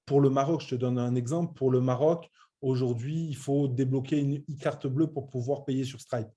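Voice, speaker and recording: Male, late 30s, soft dark tone, medium pitch, informal presenter who stresses points and restarts often. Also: fairly even intonation, moderate pace. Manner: hesitant, repetitive, emphatic. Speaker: web entrepreneur presenting a training programme. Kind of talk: informal online business presentation.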